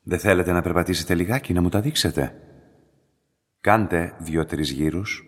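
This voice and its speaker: A controlling laboratory director in a radio play, with a very low, slightly husky voice in a long reverb. He is eerily courteous, formal and deliberate, and he insists without dropping his politeness. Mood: commanding, controlled. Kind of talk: radio drama acting.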